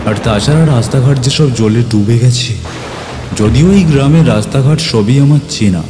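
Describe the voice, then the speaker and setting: A man playing an earnest, caring village doctor who narrates his own ghost story in a dramatic, expressive way. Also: mid-range voice, moderate pace, lightly acted. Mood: suspenseful narration. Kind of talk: dramatic first-person horror story narration. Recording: loud music behind the voice. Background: music.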